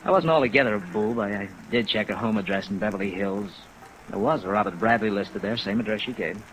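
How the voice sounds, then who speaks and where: A man's voice: fairly low pitch, fast talker, a bit bright, natural voice, poor audio. A man acting as a chagrined, middle-aged veteran hotel operator in a 1950s radio drama, hesitant and self-mocking. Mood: sheepish recounting. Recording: vintage radio recording under rain noise.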